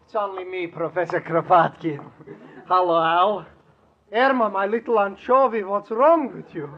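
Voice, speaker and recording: An actor playing a fussy, blunt professor with an Eastern European accent and broken English, in a 1940s radio comedy. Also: male voice, high voice, normal speed, slight background noise. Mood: chirpy, fond. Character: skinny, gloomy European professor, affectionate but blunt. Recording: dull old radio recording, studio audience.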